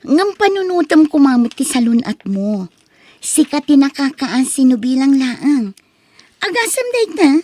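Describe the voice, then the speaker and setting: A woman's voice: sits high, very animated, measured pace, a little mellow, dry recording. A woman with an Ilocano accent playing a worried friend in a drama. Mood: concerned. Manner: fretful, concerned. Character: worried female friend of a young worker.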